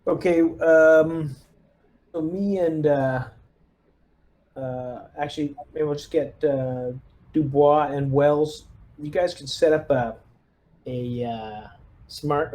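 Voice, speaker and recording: A man's voice: on the high side, takes it slowly, pauses often, a little mellow. A man chatting casually as he plays a tabletop roleplaying game, giving orders while thinking aloud. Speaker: player leading a tabletop game squad.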